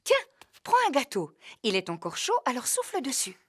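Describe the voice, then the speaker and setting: A gentle mother pig in a preschool cartoon. Her voice is high and melodic, soothing and caring in every line. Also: huge pitch swings, normal speed, heavy background noise. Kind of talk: French-dubbed preschool cartoon acting.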